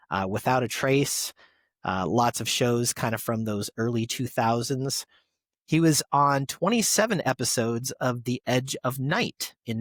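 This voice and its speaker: A male podcast host with a mid-range voice reads semi-scripted tributes. He delivers them like an announcer, with an enthusiast's personal touch. The sound is dry, with no room echo. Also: medium pitch. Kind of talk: reading birthday tributes to actors, semi-scripted podcast segment.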